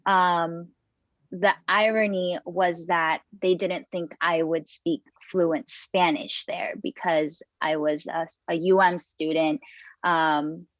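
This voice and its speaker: A woman's voice: fairly low pitch, steady tone, dry recording, dull audio. A young woman, a TV news producer and reporter, introducing herself on a panel with many fillers and restarts. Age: young adult. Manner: unhurried, with frequent fillers and restarts.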